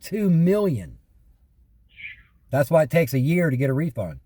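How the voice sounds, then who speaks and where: A man's voice: on the high side, sing-song, a little slow, mellow, slightly rough, no echo. A man talking casually as a caller, telling anecdotes in an exasperated, repetitive way and quoting past exchanges. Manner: repeats himself, quotes past exchanges, exasperated complaining. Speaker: caller reporting identity theft and scam calls.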